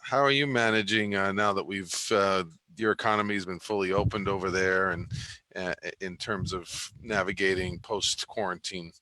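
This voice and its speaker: A man with a fairly low but bright, forceful voice hosts a live business chat over a video call. He talks in a halting way, full of ums and restarts. The sound is dry and close. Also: steady tone, no room sound, poor audio. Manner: halting, with many fillers and restarts. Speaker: host, clinical psychologist. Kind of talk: live online interview. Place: home in Los Angeles, video call.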